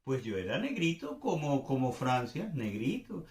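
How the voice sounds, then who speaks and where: A man's voice: mid-range voice, huge pitch swings, normal speed, darkish tone. Older Venezuelan man, echoey room. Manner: hesitant, searching for words, repeats phrases for emphasis. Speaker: commentator and host. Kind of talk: informal political commentary, spoken off the cuff.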